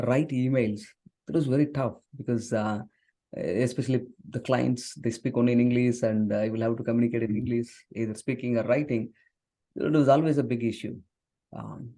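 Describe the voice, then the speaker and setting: A man's voice: mid-range voice, steady tone, brisk, warm tone. A man in his forties from southern India whose first language is Tamil, speaking earnestly as an interview guest, with frequent fillers and restarts. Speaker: guest, software engineer and author. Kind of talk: conversational interview.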